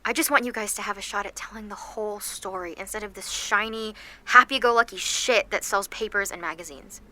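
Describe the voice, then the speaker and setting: A woman's voice: mid-range voice, brisk, bright, forceful tone, close to an everyday voice. A woman playing an anxious, guilt-ridden seventeen-year-old girl with superpowers in an audio drama, speaking in a confessional, sardonic, conversational way. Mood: somber, guilty.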